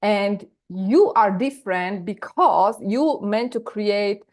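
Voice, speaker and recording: A woman's voice: medium pitch, slow, darkish tone. A woman from Slovakia with a Slovak accent, a business coach talking energetically in a live online masterclass over a video call, often repeating words for emphasis.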